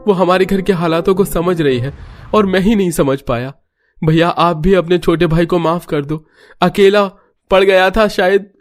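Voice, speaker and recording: A man's voice: on the high side, lightly acted. A man voicing an overburdened younger brother-in-law in a cartoon story, emphatic and emotional. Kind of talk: Hindi cartoon story dialogue.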